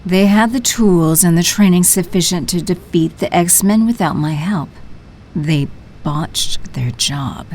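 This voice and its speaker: A woman's voice: fairly low pitch, a little mellow, a little hiss or hum. A woman playing a cold, commanding villainess in an audio drama, imperious and coolly menacing in her delivery. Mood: menacing, smug. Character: cold, commanding villainess, telepathic leader of henchmen.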